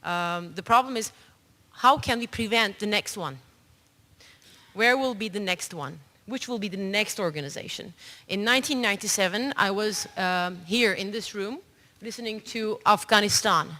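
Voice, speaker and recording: A middle-aged woman with a bright, crisp voice speaks earnestly at a panel, measured and pausing on fillers. The room adds a long echoing tail to every phrase. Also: mid-range voice. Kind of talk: unscripted panel remarks. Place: large conference hall. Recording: long hall reverb.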